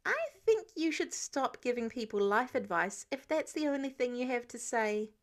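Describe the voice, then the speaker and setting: A woman voicing a cheeky, sarcastic teenage boy superhero with quick, indignant retorts in an audiobook story. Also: fairly high pitch, lively intonation, close to an everyday voice. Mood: sarcastic, defensive.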